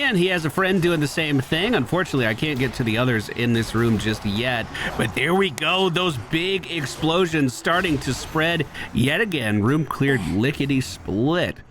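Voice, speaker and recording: A man's voice: on the high side, a bit bright, slight background noise. A man giving casual gameplay commentary as host of a gaming video. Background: video game sounds.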